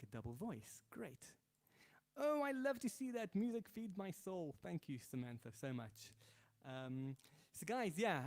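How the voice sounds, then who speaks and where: A man hosting a live guitar stream from home, chatting loosely with viewers, thanking them and reading their comments aloud with lots of fillers and restarts. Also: a little high. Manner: hesitant and rambling, many fillers and restarts. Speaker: livestream host and guitarist.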